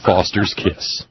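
An older man hosting a casual talk show, telling rambling stories with a wry, chuckling manner. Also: muffled recording. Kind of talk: casual call-in chat.

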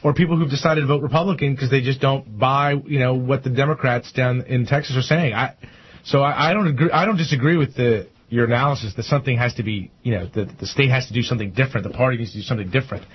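A man's voice: medium pitch, fast, breathy edge, lo-fi sound. An American man discussing politics on a panel, emphatic and often repeating himself to make a point.

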